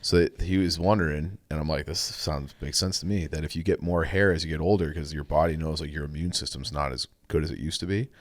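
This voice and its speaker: A man's voice: a bass voice, steady tone, fast, few pauses, dry recording. A young man co-hosting a podcast, telling rambling, laid-back stories in casual chat. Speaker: podcast co-host.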